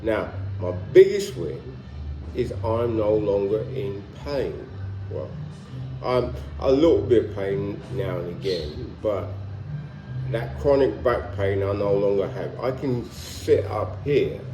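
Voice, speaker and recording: A man's voice: fairly low pitch, takes it slowly, reverberant, over music, rough recording. A man in his sixties with a London accent talking casually to camera in a vlog, rambling with many restarts. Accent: London English. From London, England. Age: 60s. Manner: rambling, stops and restarts, relaxed. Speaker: vlogger. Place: warm room at home, in Colombia.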